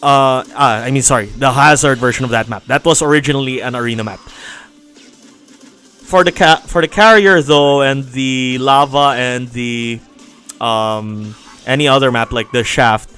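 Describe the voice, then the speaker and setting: A man's voice: medium pitch, moderate pace, pushed and bright, smooth, faint music behind. Rambling man answering stream questions. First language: not Japanese. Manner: rambling, frequent restarts and laughs. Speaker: game developer hosting a livestream. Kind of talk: casual livestream Q&A.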